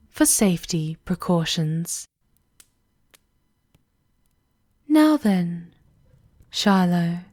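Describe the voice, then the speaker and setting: Slow, formal, menacing maidservant played by a woman. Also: mid-range voice, a little mellow, no room sound, clean studio sound. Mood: coolly firm. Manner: formal, deferential, veiled threats, often breathy whispering. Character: loyal, coldly menacing vampire castle maidservant woman. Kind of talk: ASMR fantasy roleplay acting.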